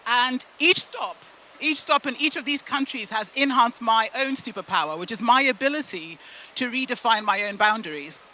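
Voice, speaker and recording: A woman from the UK and Zimbabwe, a native Shona speaker, giving a motivational storytelling talk with deliberate phrasing and emphatic pauses. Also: on the high side, bright, forceful tone, slight background noise, poor audio. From United Kingdom and Zimbabwe. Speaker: keynote speaker at a business event.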